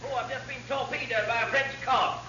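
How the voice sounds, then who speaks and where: An actor playing a vague, dithering, cheerfully hapless naval officer in classic radio comedy. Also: male voice, very high voice, fairly even intonation, fairly quick. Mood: cheerfully put-upon. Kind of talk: classic radio sitcom acting. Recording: distant, echoey, muffled, very noisy old radio.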